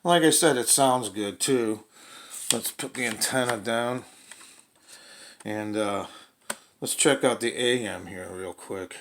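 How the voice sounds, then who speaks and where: A man's voice: medium pitch, slow, pauses often, noisy background. A man giving a casual, unscripted product demonstration in a hesitant, rambling, folksy way. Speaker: radio reviewer demonstrating a portable radio.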